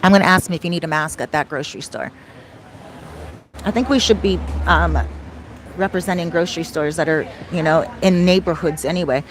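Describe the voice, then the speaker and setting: A woman's voice: lowish voice, a little fast, slight background noise. A woman hosting a casual livestream Q&A, chatting conversationally and wandering off into asides. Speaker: livestream host answering viewer questions.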